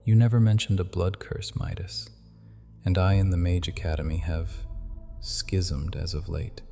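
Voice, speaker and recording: A man's voice: deep voice, flat delivery, measured pace, vocal fry, warm tone, a little hiss or hum. A man voicing a cold, haughty male vampire prince who speaks sternly and with command, in an audio drama with long hall reverb.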